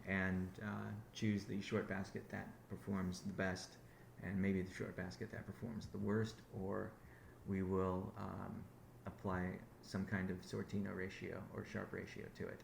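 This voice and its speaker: A man's voice: low-pitched, monotone, a little slow, creaky, hardly any pauses, roomy sound, a little hiss or hum. A man giving a rambling business update on a conference call, often restarting his sentences. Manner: rambling, self-correcting, many restarts. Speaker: company founder on a conference call.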